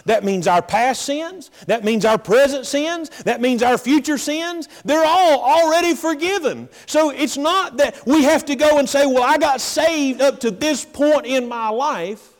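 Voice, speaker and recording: High-voiced preaching man in reverberant hall. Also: a little slow. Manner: preaching with rhetorical questions and asides to the congregation. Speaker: pastor. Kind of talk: sermon. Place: church sanctuary. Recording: long reverb of a large hall.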